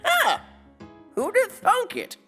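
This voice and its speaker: A nervy, chatty ghost in an audio drama, colloquial and jokey. The pitch swings widely over faint music. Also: female voice, huge pitch swings, slow pace, a bit bright, full character voice. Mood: puzzled, jokey. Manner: colloquial, exclamatory, puzzled. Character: spooked, chatty, jokey ghost being interviewed. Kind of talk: audio drama character acting.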